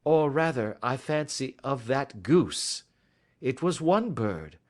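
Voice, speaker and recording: A self-assured gentleman detective, voiced by a male reader, unhurried and genteel, a touch raised in pitch with lively rises and falls. He sounds quietly amused, the speech of an old storybook. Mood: cheerily teasing. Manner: polished, measured, sweetly ironic. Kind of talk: audiobook character voice.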